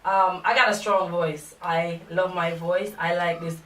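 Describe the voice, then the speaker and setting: A woman's voice: lowish voice, steady tone, unhurried, distant mic, background noise. A young woman co-hosting a music talk show in a small radio studio, talking bluntly and animatedly with frequent laughs. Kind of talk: casual studio chat. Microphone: desk microphone. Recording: echoey room, a bit off mic.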